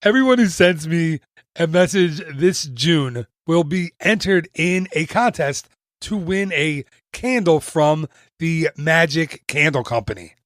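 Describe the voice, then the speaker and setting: A man's voice: fairly high pitch, animated, unhurried, no echo, studio quality. A man hosting a travel podcast, reading an upbeat promo in a chatty way with self-deprecating jokes. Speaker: podcast host and travel agent. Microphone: studio mic.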